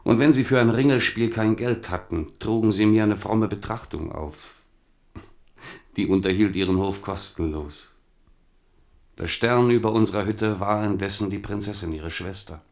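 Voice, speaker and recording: Casting: king's sorrowful companion, low and gravelly, solemn poetic delivery, radio play. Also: normal speed. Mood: mournful. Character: king's old Huguenot companion, male, melancholy, reproachful poet. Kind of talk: German radio drama acting.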